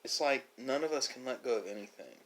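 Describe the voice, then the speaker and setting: A man giving a reflective, philosophical monologue as an online host, speaking haltingly with drawn-out pauses. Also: mid-range voice, lively intonation. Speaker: host of an ASMR mukbang channel.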